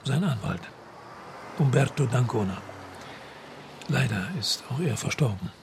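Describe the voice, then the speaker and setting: A man's voice: medium pitch, expressive, a little slow, vocal fry, background noise. An actor playing a well-spoken antiques dealer under questioning in a radio crime drama, formal and careful in his phrasing. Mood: guarded.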